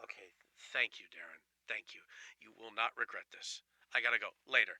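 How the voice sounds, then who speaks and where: A man's voice: lowish voice, fairly quick, bright, forceful tone. A man playing a frantic, apologetic coworker begging for help in an audio drama. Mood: relieved. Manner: pleading, apologetic. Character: frantic, pleading male coworker shirking his work.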